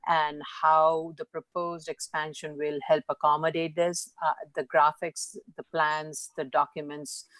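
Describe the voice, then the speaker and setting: A woman board commissioner speaking in a measured, hesitant way with many fillers at a public hearing over a teleconference call. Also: low voice, fairly bright tone, dry recording.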